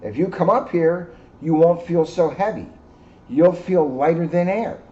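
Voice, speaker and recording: A man's voice: fairly high pitch, a little slow, warm tone, voice pushed up, close to an everyday voice. A man voicing a lonely, friendly female moon in a picture book, calling out invitingly. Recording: muffled audio with clear background noise.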